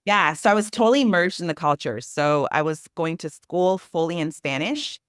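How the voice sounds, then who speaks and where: A woman in her thirties who grew up speaking Portuguese, telling stories in a rambling, animated way as a podcast guest. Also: lowish voice, moderate pace, clean tone, dry recording, clean studio sound. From Brazil, Amazon region. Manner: rambling, animated, many restarts and "like". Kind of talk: casual interview storytelling.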